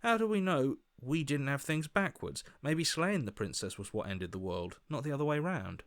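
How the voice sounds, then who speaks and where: A man voicing an earnest, anxious young hero in a video game, with a hesitant, questioning, expressive delivery. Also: a little high, moderate pace, dry recording, studio quality. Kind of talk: video game character acting.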